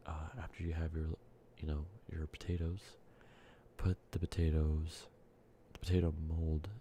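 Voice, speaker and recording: A man with a cold softly speaking and whispering in a rambling ASMR video, sounding congested and short of breath. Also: extremely low pitch, vocal fry, a little hiss or hum. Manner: soft speaking drifting into whispers, rambling with fillers. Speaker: ASMR creator. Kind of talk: soft-spoken ASMR candy-making ramble.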